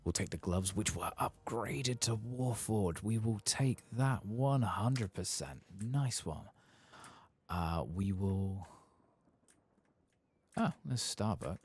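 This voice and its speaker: A soft-spoken man giving ASMR video game commentary, musing to himself and reading quest text aloud in an unhurried way. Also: fairly low pitch, animated, breathy edge. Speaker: ASMR gaming streamer narrating his play.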